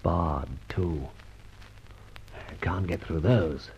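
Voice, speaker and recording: A man playing a suave, menacing gentleman adventurer in an old radio serial, mock-polite and commanding, with clipped questions. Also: low-pitched, drawn-out words, noisy background, full character voice. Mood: urgent. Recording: dull, lo-fi old radio recording.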